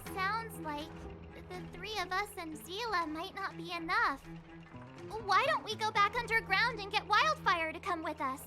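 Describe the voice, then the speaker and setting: A woman voicing an eager, bubbly young girl adventurer in a video game, girlish and excitable. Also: very high-pitched, normal speed. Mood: worried. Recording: music clearly behind the voice.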